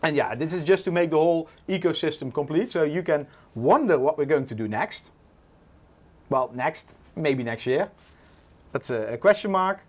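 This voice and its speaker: A Dutch man in his forties with a Dutch accent, hosting a casual livestream, relaxed and full of "uh" fillers. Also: a little high, moderate pace. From Netherlands. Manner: relaxed, many "uh" fillers, reads chat aloud. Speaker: livestream host.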